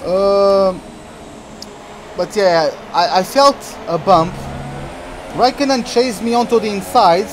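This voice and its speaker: A man from Portugal, streaming a racing game and chatting excitedly through the action. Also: sits high, takes it slowly, long pauses, noisy background. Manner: chatty, reacts excitedly to the race. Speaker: gaming streamer. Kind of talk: live game commentary.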